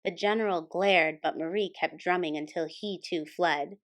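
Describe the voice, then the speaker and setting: A woman's voice: fairly low pitch, normal speed. Woman reading a children's storybook aloud. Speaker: storytime host and reader. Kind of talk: children's picture book read-aloud, scripted narration.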